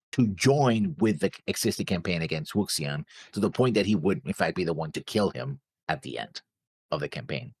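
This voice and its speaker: A man's voice: sits low, husky, dry recording. A man co-hosting a reaction show, casually working through theories, insistent but often searching for words. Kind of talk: casual theorizing about an animated series.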